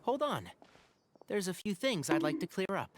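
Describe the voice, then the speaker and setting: An actor playing an analytical, matter-of-fact companion in a video game, measured and probing. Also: male voice, high-pitched, big pitch swings. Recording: game music under the voice.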